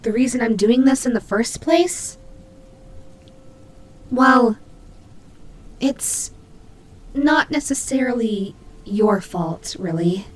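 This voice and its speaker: A woman's voice: a little high, animated, slow, long pauses, slight background noise. A woman playing a prickly but caring female nightmare demon rabbit in an audio roleplay, speaking to the listener with hesitant, teasing, flustered delivery. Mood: subdued, confessing.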